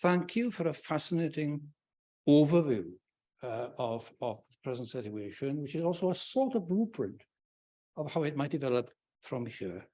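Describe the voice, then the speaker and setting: A man's voice: fairly high pitch, big pitch swings, normal speed, warm tone. An older man with a Welsh accent, whose first language is Welsh, gives an earnest, emphatic vote of thanks after a lecture. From Wales. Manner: earnest and emphatic, with dry asides. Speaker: lecture respondent giving thanks. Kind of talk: formal public vote of thanks. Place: lecture hall.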